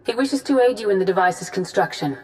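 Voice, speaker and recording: An actress playing a grave, authoritative female Jedi grandmaster in a video game, speaking formally and deliberately. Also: medium pitch, lively intonation, a little mellow, natural voice, poor audio. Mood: grave, earnest. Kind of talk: scripted video game dialogue.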